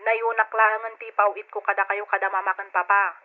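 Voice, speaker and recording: An actress playing a concerned, caring older sister in an old radio drama, speaking in a solicitous, emotional manner. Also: female voice, mid-range voice, flat delivery, moderate pace, close to an everyday voice. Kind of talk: old-time radio drama acting in Ilocano. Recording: thin phone-like radio drama sound.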